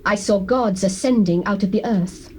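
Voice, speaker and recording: A woman's voice: medium pitch, normal speed, dark tone, close to an everyday voice. An actress playing a fearful, accusing woman who summons spirits, in a theatrical dramatized scripture recording with archaic delivery. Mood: awed. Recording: noisy old cassette recording.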